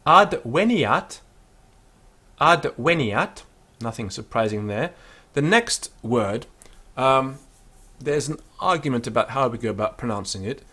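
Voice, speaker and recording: A man giving an unscripted pronunciation lesson, explaining with fillers and then slowly sounding out words to demonstrate them. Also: medium pitch, expressive, sharp tone, slightly rough. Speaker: Latin teacher.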